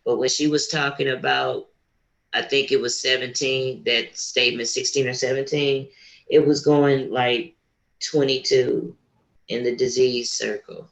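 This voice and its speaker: A man's voice: mid-range voice, flat delivery, measured pace, vocal fry, a bit bright, reverberant. A man taking part in a class, speaking informally and thinking aloud as he asks and replies. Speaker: class participant. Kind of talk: casual question and reply in a class. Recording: dull, lo-fi call audio.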